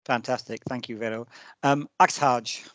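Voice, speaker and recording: A man's voice: medium pitch, fairly even intonation, normal speed, a bit bright, no echo, lo-fi sound. A middle-aged man hosting a live webinar over a video call, genial and lightly joking, often restarting his sentences. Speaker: webinar host, senior scholar.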